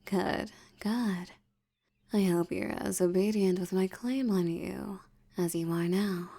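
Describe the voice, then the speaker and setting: Woman doing a rich bossy vampire lady, breathy and creaky, super slow, teasing and possessive, intimate roleplay audio. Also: mid-range voice, steady tone, mellow. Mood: teasing, commanding. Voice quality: breathy, often dropping toward a whisper. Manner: slow, teasing, commanding, condescending. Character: dominant, possessive, seductive wealthy female vampire.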